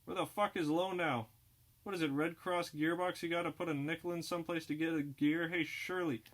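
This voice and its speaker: A man's voice: a little high, fairly even intonation, a little fast, a bit bright, pitched above the performer's own voice, lightly acted. A man voicing a blunt, sneering young sailor in an audiobook reading. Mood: impatient. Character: tough-talking young sailor with a gun.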